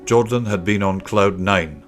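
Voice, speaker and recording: Man, low voice, children's audiobook narration, scripted storytelling, soft music behind. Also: unhurried.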